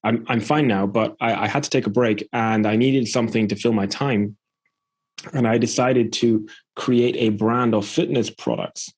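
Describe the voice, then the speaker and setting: Male interview guest from Scotland, lowish voice, plain-spoken conversational storyteller. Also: fairly even intonation, normal speed, a little mellow, breathy edge. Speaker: podcast guest, e-commerce entrepreneur.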